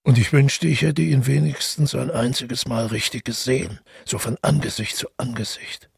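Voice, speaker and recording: Gravelly, detached killer. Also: male voice, mid-range voice, steady tone, no echo, clean studio sound, exaggerated voice. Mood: haunted. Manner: measured, reflective, detached narration. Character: detached male killer calmly recounting his deed. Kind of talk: literary radio drama monologue. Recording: music playing under the voice.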